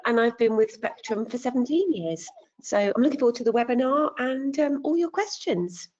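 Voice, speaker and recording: A woman financial advisor introducing herself in a webinar, pausing often with ums. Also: fairly high pitch, expressive, a little mellow. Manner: hesitant, many ums. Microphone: video call. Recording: dull lo-fi call audio.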